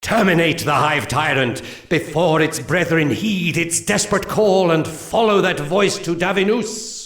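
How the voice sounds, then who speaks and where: A man voicing a solemn elven seer who briefs his warhost in a strategy game's cutscenes, speaking slowly and portentously. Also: fairly high pitch. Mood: grave. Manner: slow, grave and portentous. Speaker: game mission narrator. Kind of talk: 1990s strategy game cutscene narration. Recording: roomy game audio under loud music.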